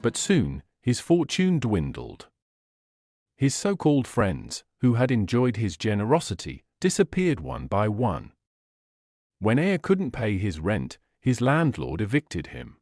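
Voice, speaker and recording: A man narrating a scripted folktale in a dramatic, measured storytelling manner. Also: on the low side, huge pitch swings, darkish tone, dry recording, clean studio sound.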